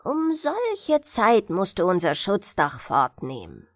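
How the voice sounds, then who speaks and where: A woman voicing a small, gentle male mandrake sprite in a fairy-tale audiobook, earnest and entreating. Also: medium pitch, huge pitch swings, slow, no room sound, clean studio sound, full character voice. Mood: earnest, eager.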